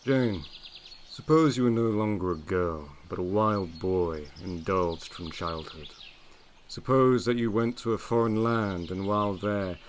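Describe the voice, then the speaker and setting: Low-voiced, brooding gentleman of the manor. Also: unhurried, slight background noise. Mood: fervent, brooding. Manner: intense, imperious, theatrical. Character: brooding, commanding gentleman master of a gothic manor. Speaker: actor in an audio drama. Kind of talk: period audio drama acting.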